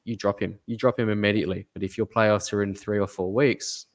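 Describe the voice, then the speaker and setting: A man co-hosting a fantasy basketball podcast, giving measured advice with fillers and repeating his main points. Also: on the low side, little pitch movement. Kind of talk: casual podcast analysis.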